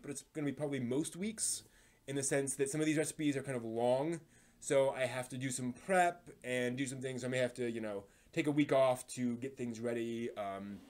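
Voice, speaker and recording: Male live stream host, mid-range voice, informal and hesitant, chatting loosely to viewers. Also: medium pitch. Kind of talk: casual live stream chat.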